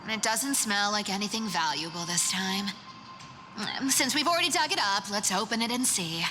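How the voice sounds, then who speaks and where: A woman's voice: mid-range voice, expressive, normal speed, over music, natural voice, rough recording. A woman playing a vain, bossy female rogue in a video game, haughty and playfully teasing. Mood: bossy, bored. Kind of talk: video game character acting.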